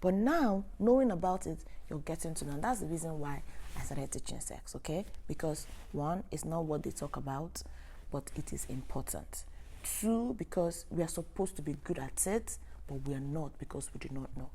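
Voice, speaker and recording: A woman's voice: low voice, normal speed, mellow, husky, rough recording. A woman with a Nigerian Igbo accent, a native Igbo speaker, talking emphatically to a live online audience as host, with rhetorical questions. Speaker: host, sex educator.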